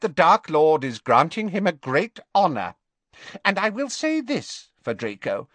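A man's voice: a little high, very animated, measured pace, a bit bright, dry recording, pitched above the performer's own voice, heavily acted. A man voicing a fanatical, scornful witch in an audiobook, harsh and triumphant. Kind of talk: audiobook character voice.

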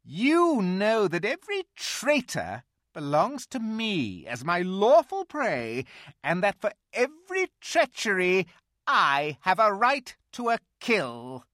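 A man reading aloud and voicing a cold, imperious witch queen, shrill and commanding. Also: wildly swooping pitch, slow, a bit bright, no echo, studio quality, exaggerated voice. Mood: menacing. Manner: shrill and commanding, rising when angry.

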